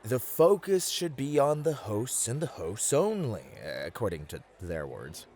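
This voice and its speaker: A man's voice: mid-range voice, big pitch swings, a little slow, darkish tone. A man playing a sweet, easily flustered bunny host in an ASMR roleplay, speaking politely and a little bashfully. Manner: stammering, bashful, polite, accommodating.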